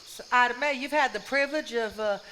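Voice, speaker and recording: A woman's voice: a little high, brisk, pushed and bright, poor audio. An actress playing a weary, unhappily married 1950s Southern mother in a live stage drama, with a Southern US accent. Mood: chatty, sympathetic. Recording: live stage recording, background hiss and ambience.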